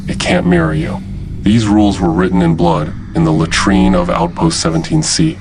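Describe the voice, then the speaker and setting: A low-voiced, solemn military announcer played by a man delivers an analog horror emergency warning. His delivery is deliberate and ominous, with pitch that swings widely from phrase to phrase. Music plays clearly behind the voice. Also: close to an everyday voice. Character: grave male military emergency announcer warning of uncanny threat. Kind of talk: scripted horror narration as emergency broadcast.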